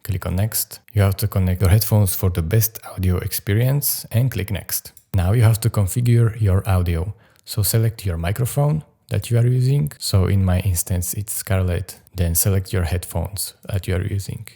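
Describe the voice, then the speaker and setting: Man, low pitch, dark relaxed tone, step-by-step tutorial narration. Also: moderate pace, gravelly. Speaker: tutorial host.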